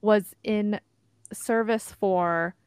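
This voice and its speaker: A young woman born in Korea, co-hosting a casual podcast. She thinks out loud in a reflective, searching way, full of "like" and "you know." Her voice is mid-pitched and recorded dry and close. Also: fairly even intonation, very slow, smooth, no echo. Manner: reflective, thinks aloud with many likes and you-knows. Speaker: podcast co-host. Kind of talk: casual chat.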